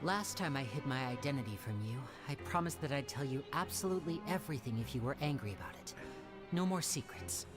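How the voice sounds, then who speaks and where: A woman voicing a suave, playful teenage boy magician in a video game, speaking smoothly and theatrically. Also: rumbling low, animated, fairly quick. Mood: confiding. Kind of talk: video game character acting. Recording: game music behind the voice.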